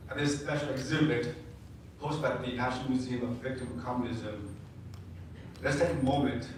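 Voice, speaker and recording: A man's voice: medium pitch, a little hiss or hum. Man giving a solemn speech in a hall. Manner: solemn, slow and deliberate. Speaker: speaker at a veterans event. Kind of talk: prepared commemorative speech. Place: large exhibit room. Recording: echoey hall from far off.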